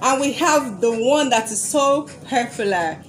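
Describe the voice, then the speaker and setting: High-voiced Nigerian woman teaching kids. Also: lively intonation, measured pace, over music, rough recording. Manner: upbeat, leads with prompts. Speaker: presenter. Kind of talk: explaining to children. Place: home room with photos on wall. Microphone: video call webcam.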